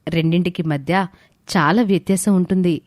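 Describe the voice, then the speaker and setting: Woman doing caring teacher lady, lowish voice, firm n patient, story audio, dry. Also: fairly even intonation, normal speed, a little mellow, no room sound, close to an everyday voice. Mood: instructive. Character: Bharathi, caring, encouraging female teacher and mentor. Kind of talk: scripted audio story dialogue.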